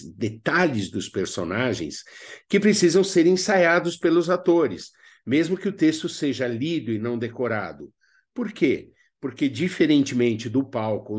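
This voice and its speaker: A Brazilian man narrating an instructional video as a workshop teacher, speaking expressively and didactically with emphatic pauses. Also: medium pitch.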